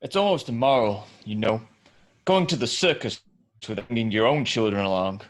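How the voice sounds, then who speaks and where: Man acting a guarded wealthy bachelor, romantic lead, hesitant, understated, mid-pitched, screenplay table read. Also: animated, moderate pace, close to an everyday voice. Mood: wry.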